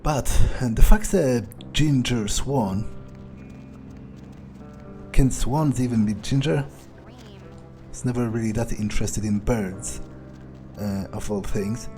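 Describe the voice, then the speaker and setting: Game vampire, played by a man: unhurried and soft-toned, dry, pragmatic, muses through hesitant pauses. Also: mid-range voice, faint music behind. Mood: intrigued, detached. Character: detached, pragmatic, curious male vampire. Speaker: player roleplaying a character. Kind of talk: in-character game playthrough narration.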